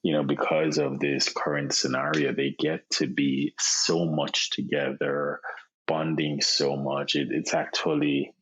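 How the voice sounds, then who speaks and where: A Jamaican man in his thirties, a podcast guest, talking in a relaxed way with many fillers and repeated words over a remote call. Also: a bass voice, a little slow, slight background noise. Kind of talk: casual interview chat. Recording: dull lo-fi sound.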